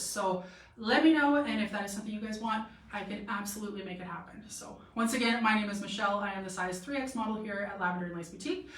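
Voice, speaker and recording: A chatty young woman from Canada rambles cheerfully through a clothing try-on, full of "like" and "um." Her throat is a little sore. She stands back from the camera, so her voice sounds distant and roomy. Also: medium pitch. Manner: chatty, rambling, lots of "like" and "um" fillers. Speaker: boutique model and live-stream host. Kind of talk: casual live-stream try-on chat. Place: boutique room with a clothing rack. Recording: echoey room, voice from a distance.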